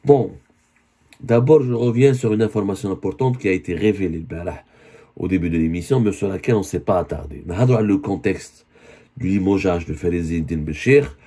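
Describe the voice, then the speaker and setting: Hoarse low-voiced man. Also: fairly quick, mellow. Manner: earnest, with many self-corrections. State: hoarse from a cold. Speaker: online news commentator. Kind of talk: spoken video commentary.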